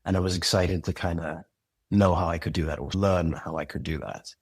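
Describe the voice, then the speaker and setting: A man's voice: low-pitched, fairly even intonation, fairly quick, breathy edge, dry recording. A man talking as a podcast guest about creating an audio drama, thoughtful and earnest. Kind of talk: reflective interview talk.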